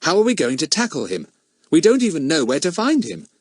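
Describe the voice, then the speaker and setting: A man's voice: a little high, wildly swooping pitch, a little fast, dry recording, clean studio sound, pitched above the performer's own voice. A man voicing an eager, friendly, questioning schoolboy sidekick in a children's storybook, earnest and boyish.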